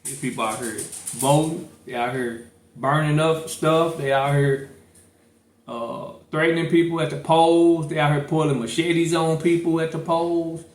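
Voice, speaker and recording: A man from St. Louis hosting a casual podcast, talking in a relaxed, loose and conversational way. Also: fairly high pitch, unhurried. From St. Louis, Missouri. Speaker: podcast host. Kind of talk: casual chat. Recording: echoey room, set back from the mic, faint noise.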